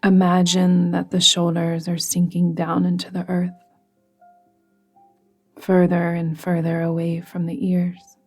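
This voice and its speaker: A woman guiding a yoga nidra meditation in a soft, soothing, gently instructing manner, with breathy phrase endings. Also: lowish voice, monotone, measured pace. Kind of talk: guided meditation. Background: music.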